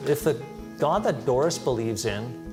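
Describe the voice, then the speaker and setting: A man giving a personal testimony, recounting his experience deliberately and searching for words. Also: mid-range voice, expressive. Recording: music bed under the voice. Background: background music.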